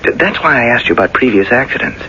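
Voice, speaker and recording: A man playing a tactful, concerned doctor who speaks haltingly and carefully, in 1970s radio drama style on a muffled recording. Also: mid-range voice, expressive, pushed and bright, a little hiss or hum. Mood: hesitant concern. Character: hesitant, tactful hospital doctor, gravely concerned.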